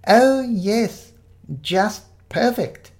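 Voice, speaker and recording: A man voicing an elderly, doddering vicar in a story reading, with a quavery voice and a slow, genteel, pious manner. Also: high voice, voice pushed up, heavily acted. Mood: rapturous. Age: older.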